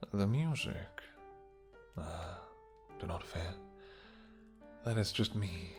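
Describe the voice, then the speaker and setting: A man playing a possessive, courtly vampire king in a romantic fantasy audio roleplay, speaking silky endearments with mock-polite menace. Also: low voice. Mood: soft, affectionate.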